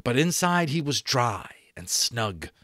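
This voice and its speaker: Male audiobook reader, dramatic narration, animated pitch, deliberate pauses, dry close-miked sound. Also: no room sound. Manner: expressive, deliberate pauses and stressed repeats. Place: small room at home. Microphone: desk microphone close in front.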